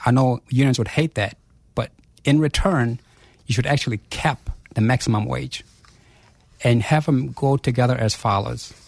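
A man, a guest in a radio interview, arguing forcefully with rhetorical questions and repeated phrases. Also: medium pitch, moderate pace, gravelly, no echo. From South Africa. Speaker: chief investment officer, guest.

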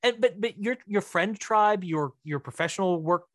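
A man's voice: sits high, moderate pace, no room sound. A man chatting casually as a podcast guest, informal and prone to repeating phrases for emphasis. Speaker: guest, writer-director.

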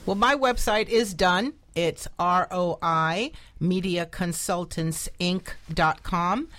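Female talk-radio guest, slightly low voice, casual conversational delivery. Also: slow, fairly bright tone. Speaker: guest, media consultant.

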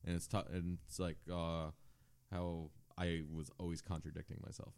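Soft-spoken man chatting casually. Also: low-pitched, little pitch movement, vocal fry, clear, resonant tone. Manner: soft-spoken, halting, laughs often. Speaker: guest musician. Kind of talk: casual chat.

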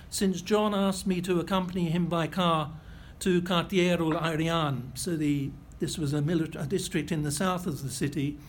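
An older man giving a lecture, reading out a first-hand account in a measured, precise way with a few self-corrections. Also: high-pitched, a little hiss or hum. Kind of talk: reading a prepared memoir-style lecture.

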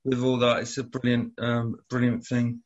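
A man asks questions in a halting way, stumbling over repeated words and ums. His voice is mid-pitched and ordinary. The recording sounds a little dull and lo-fi. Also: completely flat delivery. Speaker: interviewer. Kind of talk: casual interview chat.

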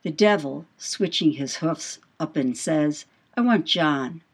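A woman's voice: low-pitched, lively intonation, slow pace, husky, heavily acted. A woman playing a brash, boastful male sailor in an audiobook, with salty, swaggering speech and emphatic questions. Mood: sly, yarn-spinning. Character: brash, boastful male whaling mate telling devil yarns. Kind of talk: dramatised audiobook dialogue.

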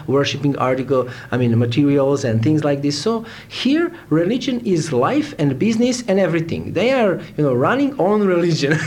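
Serbian guy being interviewed, slightly high voice, halting english, bit of background noise. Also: darkish tone. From Novi Sad, Serbia. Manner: halting, searching for words in English. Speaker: interviewee, Hare Krishna devotee. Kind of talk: casual interview conversation. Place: a room in India. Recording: faint background noise, informal room interview.

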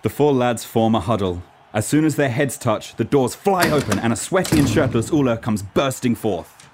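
A man's voice: mid-range voice, animated, normal speed. A man from Norway giving scripted narration for a radio play. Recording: clear background noise.

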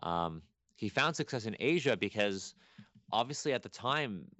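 A young man from Canada, a guest chatting casually on a podcast, rambling and animated with many restarts. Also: on the low side, normal speed, no echo. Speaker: guest archaeologist.

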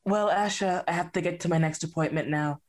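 A woman with a Canadian accent plays a calm, absent-minded therapist who gives stock advice. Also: on the low side, brisk, lightly acted. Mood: brisk. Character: distracted, bland female therapist.

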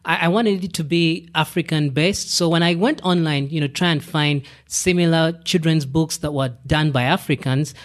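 A man hosting a business podcast, asking questions in a relaxed, conversational way. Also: fairly high pitch, normal speed, few pauses. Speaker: podcast host. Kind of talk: interview hosting.

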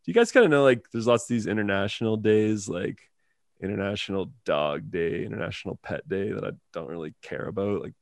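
A man's voice: lowish voice, expressive, fairly quick, vocal fry, no room sound, clean studio sound. A man in his thirties hosting a casual podcast, rambling with lots of fillers and easy laughs. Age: 30s. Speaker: podcast host.

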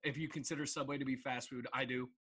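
A young man co-hosting a casual podcast, joking with quick asides. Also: medium pitch, slightly rough. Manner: jokey, animated, quick asides. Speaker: co-host.

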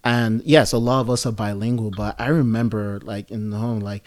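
Guy in his 30s from nigeria, kinda low voice, rambly and reflective, lots of "like" and "you know". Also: fairly even intonation, moderate pace, a little mellow. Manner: reflective, rambling, many fillers. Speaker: guest. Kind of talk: casual conversation.

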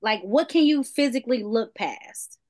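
Woman host, high bright voice, dry close sound, blunt and teasing. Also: expressive, moderate pace, no echo. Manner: leads topics, teasing and blunt. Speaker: podcast host. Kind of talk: casual group chat.

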